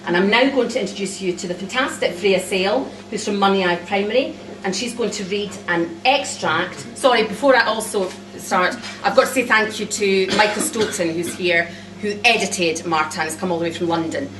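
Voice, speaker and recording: A woman speaking as an author at a live launch, giving thanks to an audience in a halting way with frequent pauses. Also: mid-range voice. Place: cafe event room, indoors. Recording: echoey room recorded from far off.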